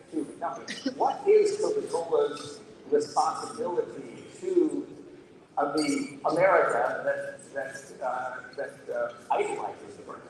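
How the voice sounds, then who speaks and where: A man moderating a panel discussion, asking long questions and often halting with fillers. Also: on the high side. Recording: distant mic in an echoey hall with crowd.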